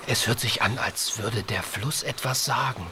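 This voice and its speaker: A man voicing a gentle, curious, earnest piglet in a children's radio play, speaking in a wondering way. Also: mid-range voice, measured pace, close to an everyday voice. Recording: clear ambient noise behind.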